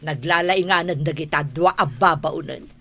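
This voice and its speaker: A woman's voice: sits low, moderate pace. A woman with a Filipino Ilocano accent playing a haughty, scolding, fretful mistress of the house in a comedy radio drama. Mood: worried, indignant. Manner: sharp, scolding, nagging.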